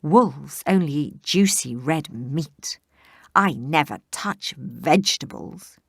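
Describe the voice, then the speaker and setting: A woman voicing a greedy, sly male wolf in a storybook reading, with slow, drawn-out menace. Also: deep voice, animated, pushed and bright, dry recording, studio quality, full character voice. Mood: disgusted. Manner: huffy, drawn-out growly menace.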